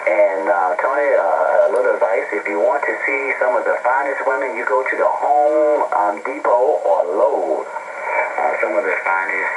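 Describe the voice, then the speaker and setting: Noisy radio transmission, man, medium pitch, amateur radio operator, folksy rambling storyteller, casual chat. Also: a bit bright, lo-fi sound. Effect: two-way radio transmission. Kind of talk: casual radio chat with call signs and sign-offs.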